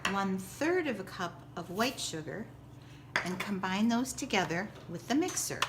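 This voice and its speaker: A woman giving a step-by-step cooking demonstration like a teacher, with simple, clear directions. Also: mid-range voice, very animated, normal speed, heavy background noise. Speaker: school teacher presenting a recipe.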